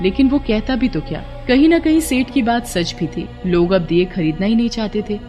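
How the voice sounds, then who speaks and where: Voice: mid-range voice, a little mellow. A narrator telling a scripted moral story for a cartoon.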